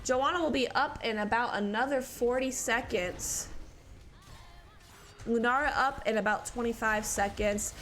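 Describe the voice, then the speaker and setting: Stuffy, bright-voiced woman casting a match. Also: unhurried, a little hiss or hum. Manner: excitable, rising in pitch at close fights. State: stuffy from allergies. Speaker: esports caster. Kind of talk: live game commentary.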